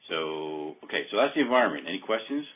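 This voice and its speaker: A very low-voiced man gives an informal software demo over a thin, phone-like conference line. He explains step by step in a halting way, often correcting himself. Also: very animated, breathy edge. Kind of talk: informal technical walkthrough on a web meeting. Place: remote web conference call.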